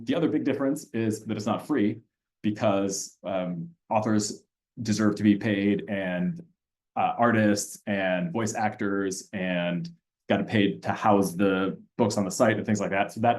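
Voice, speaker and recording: Low-pitched man, German native speaker, casual webinar presenter, chatty with frequent ums and restarts. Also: darkish tone. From Austria. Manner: conversational, frequent fillers and restarts. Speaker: language teacher presenting a reading platform. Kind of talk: webinar walkthrough with screen sharing.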